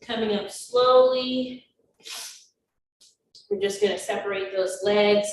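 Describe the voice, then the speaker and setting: Woman fitness instructor, stuffy from a cold, unhurried cues, far off the mic with faint hiss. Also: mid-range voice, fairly even intonation. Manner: slow, encouraging counting cues. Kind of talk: guided exercise class with counting. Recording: very echoey, distant, faint noise.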